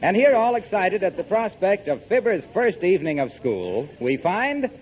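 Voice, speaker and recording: A man's voice: high-pitched, big pitch swings, moderate pace, a little mellow, low-quality recording. A man announcing a 1940s radio comedy with a scripted, theatrical introduction.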